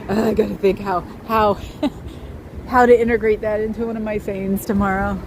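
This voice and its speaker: A woman with a high voice reacts to viewer comments in a loose, wry chat and laughs often. She talks from a car, and traffic noise runs clearly underneath her. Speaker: livestream host.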